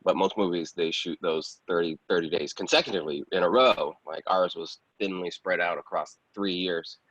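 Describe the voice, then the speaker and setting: Low-voiced man chatting in an echoey room. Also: steady tone, normal speed, a bit bright. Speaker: guest, actor and film producer. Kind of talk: casual interview chat. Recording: roomy echo, slightly muffled sound.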